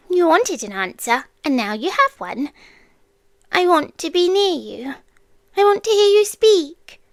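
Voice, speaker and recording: An ancient, powerful female watcher played by a British woman speaks in a high, unhurried British voice. She is possessively devoted and intimate, often slipping into whispers. The ASMR recording is close and very dry, with each phrase placed deliberately. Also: no echo, full character voice. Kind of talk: ASMR roleplay, scripted intimate monologue. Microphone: close microphone, dry.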